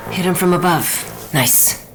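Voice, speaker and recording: A woman's voice: fairly low pitch, unhurried, over music, lightly acted, rough recording. An actress playing a tough, wry woman on a heist crew in a video game. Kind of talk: video game voice acting, naturalistic dialogue. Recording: game soundtrack behind voice.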